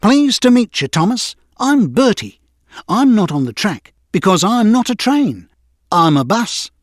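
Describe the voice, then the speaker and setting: Man playing friendly storybook bus, very high pitch, cheerful, polite, helpful. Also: sing-song, a little slow, no room sound, studio quality, heavily acted.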